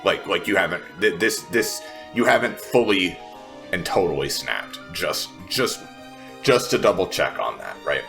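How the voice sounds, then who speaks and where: Blunt, wary female adventurer played by a man: very low, bright-edged, halting, skeptical tabletop delivery. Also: expressive, gravelly, faint music behind, full character voice. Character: Vex, blunt, wary, wisecracking woman.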